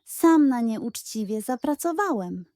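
A woman's voice: on the high side, animated, measured pace, mellow, no echo, studio quality. A woman voicing a greedy, cheeky male pug in a children's audio fairy tale, whiny and self-righteous. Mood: whiny indignant.

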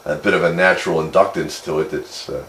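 A man's voice: rumbling low, steady tone, fast, fairly bright tone. A man having a casual technical chat as a radio operator. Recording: roomy echo with clear background noise.

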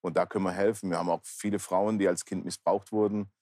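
Male interview guest, fifties, very low register, earnest plain-spoken testimony, dry sound. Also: little pitch movement, fast talker, darkish tone, no room sound. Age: 50s. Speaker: talk show guest, pastor. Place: large indoor bar hall, seated interview.

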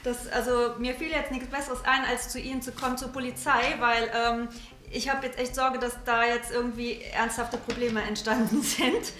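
A woman's voice: a little high, flat delivery, normal speed, fairly bright tone, roomy sound, lightly acted. A woman acting as a worried middle-aged mother in a scripted police reality show, speaking in a small office. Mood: worried, explaining. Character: worried middle-aged mother reporting her son's prank.

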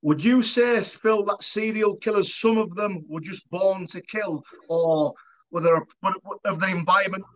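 British podcast host guy, high forceful voice, asking questions on a muffled video call. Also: normal speed. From United Kingdom. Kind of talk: interview questions. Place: indoor room, video call. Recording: dull lo-fi video call audio.

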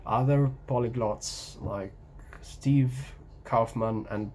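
A young man with an Egyptian accent, whose first language is Egyptian Arabic, talks to camera in a home vlog, pausing often with ums and restarts. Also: medium pitch, mellow, a little hiss or hum. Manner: hesitant, many ums and restarts, gestures while talking. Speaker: language-learning vlogger. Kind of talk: casual talking-head vlog. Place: small room at home by a window.

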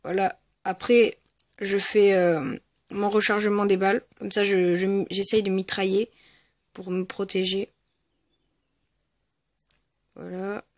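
A gaming video creator giving casual, hesitant and rambling commentary over gameplay, speaking in a medium-high voice. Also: level tone, takes it slowly, pauses often, dry recording, studio quality. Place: at home, voice-over on mobile gameplay recording.

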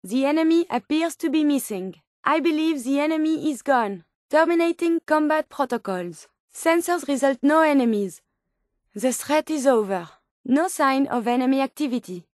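A woman's voice: high-pitched, expressive, normal speed, darkish tone, no echo, clean studio sound. A woman voicing a polite, curious female robot scientist in a video game, with a French accent and formal, precise, clinical phrasing. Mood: alert, clinical. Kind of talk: video game companion dialogue lines.

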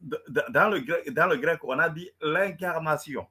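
A man's voice: on the high side, moderate pace, bright, forceful tone, husky. A man debating in French, which is not his first language, stammering and repeating words as he lectures. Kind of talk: heated live debate.

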